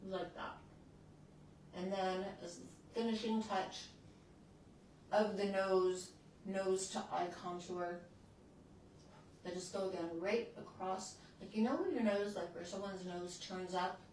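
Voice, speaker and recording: A woman's voice: medium pitch, steady tone, takes it slowly, pauses often, darkish tone, very echoey, distant mic, slight background noise, rough recording. A woman in her sixties who hosts a beauty channel, chatting casually through a makeup tutorial and often restarting her sentences as she works. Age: 60s.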